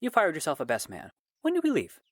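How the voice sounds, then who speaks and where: A man's voice: on the high side, wildly swooping pitch, quick pace, a bit bright. A man playing a wry, world-weary fantasy swordsman for hire in an audio drama. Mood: wry, incredulous. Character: gruff, wry human swordsman, hired fantasy bodyguard.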